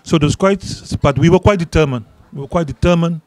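A man's voice: on the high side, fast, no room sound. Earnest Afrikaans-accented man, dry sound. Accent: South African Afrikaans. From South Africa. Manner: earnest, emphatic, repeats words when stressing a point. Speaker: trade union leader. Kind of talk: interview answers at a public event. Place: outdoor garden event. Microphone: handheld mic.

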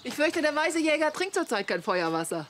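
A woman's voice: high-pitched, very animated, a little fast, a bit bright, a little hiss or hum. Wry, teasing woman camper. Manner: wry, mocking. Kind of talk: German comedy radio play acting.

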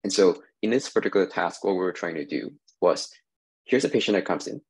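A man giving a recorded conference talk over slides, measured and explanatory, with many "uh" fillers, on a webcam mic. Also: low voice, animated, breathy edge. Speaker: industry researcher giving a talk.

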